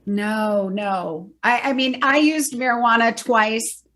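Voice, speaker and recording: A woman's voice: on the high side, slow pace, a bit bright. A middle-aged woman, a bereaved mother and educator, explaining earnestly in a podcast interview and rattling off lists of facts.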